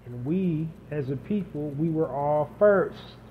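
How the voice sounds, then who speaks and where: A man's voice: fairly high pitch, lively intonation, slow pace. A man hosting a solo podcast, talking unscripted and building points through emphatic repetition and lists. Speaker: podcast host. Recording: dull lo-fi audio with faint hiss.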